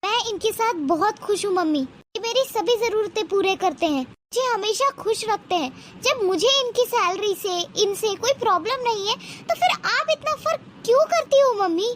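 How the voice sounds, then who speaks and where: A woman playing an indignant young married daughter who speaks up reproachfully in an animated moral story. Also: very high voice, moderate pace, hardly any pauses, slight background noise. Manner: pleading, reproachful.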